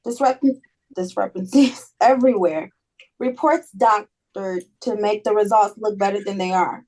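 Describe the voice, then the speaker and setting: Woman acting sassy female colleague, medium pitch, blunt, emphatic, protective, dramatic script reading. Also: steady tone, moderate pace, a little mellow, close to an everyday voice, poor audio. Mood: grave, urgent. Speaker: actor in a script table read.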